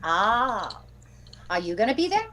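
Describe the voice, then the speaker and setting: A woman's voice: medium pitch, very animated, a little slow, a bit bright. A woman playing a practical, wry housemaid in 1940s-style radio comedy, deferential with a sardonic streak. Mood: curious.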